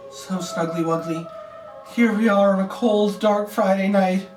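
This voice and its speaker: A man delivering a mock-dramatic, gloomy monologue in a drawn-out theatrical manner. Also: high-pitched, fairly even intonation. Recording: echoey, far from mic, music behind.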